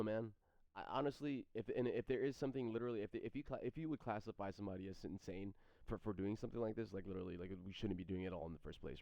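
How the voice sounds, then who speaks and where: A man in his thirties, streaming and rambling through questions from chat, halting and repeating his words as he goes. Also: fairly low pitch, gravelly, no echo. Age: 30s. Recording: dry, slightly dull stream mic audio.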